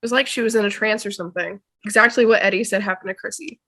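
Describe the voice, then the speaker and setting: A woman's voice: medium pitch, quick pace, sharp tone. A woman acting a matter-of-fact, know-it-all teenage boy in a dramatic table read over a video call. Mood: urgent.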